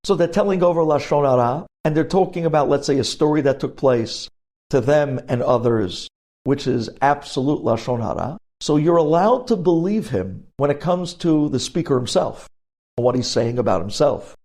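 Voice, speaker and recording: A man's voice: on the high side, animated, moderate pace, a little mellow. A man giving a religious lesson as a rabbi, explaining emphatically and posing questions that he then answers. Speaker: rabbi teaching religious law.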